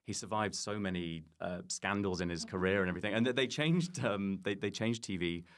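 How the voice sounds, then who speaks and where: A man's voice: low voice, brisk. A British man chatting casually as a comedy podcast guest, in a rambling, enthusiastic way.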